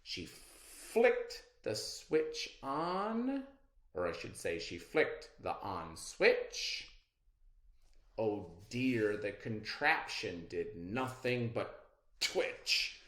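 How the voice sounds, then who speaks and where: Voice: mid-range voice, big pitch swings, drawn-out words, fairly bright tone. A storybook reader narrating a children's read-aloud expressively, with dramatic emphasis. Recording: roomy, echoey sound.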